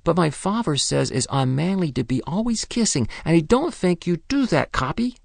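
A man reading aloud who voices an earnest small boy with soft, solemn questions. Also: on the high side, animated, normal speed, gravelly, dry recording, clean studio sound, voice pushed up.

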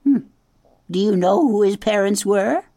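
A woman voicing a blunt, suspicious old female goose in an audiobook, talking in a brisk, scolding way. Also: medium pitch, mellow, dry recording, studio quality, pitched above the performer's own voice. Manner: brisk, scolding, matter-of-fact. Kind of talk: audiobook reading.

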